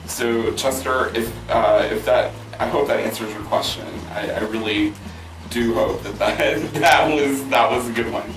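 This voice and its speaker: A man hosting a vlog, answering viewer questions in casual chat, hoarse with a worn-out voice and rambling with many fillers and restarts. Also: mid-range voice, measured pace. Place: outdoors near a pool with loud music. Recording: roomy, mic at a distance, loud music behind.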